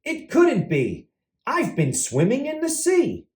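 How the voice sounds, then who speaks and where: A man's voice: extremely high pitch, wildly swooping pitch, slow pace, darkish tone, far from the mic, pitched above the performer's own voice, full character voice. A man voicing an innocent, protesting turtle in a children's storybook. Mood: pleading denial. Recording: roomy echo.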